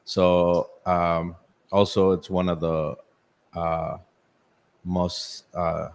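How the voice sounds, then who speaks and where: A man from Mexico City with a Mexican accent, a restaurant owner telling his story in a halting, filler-heavy way as a guest in a casual chat. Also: deep voice, little pitch movement, vocal fry, clean tone. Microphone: shared handheld mic.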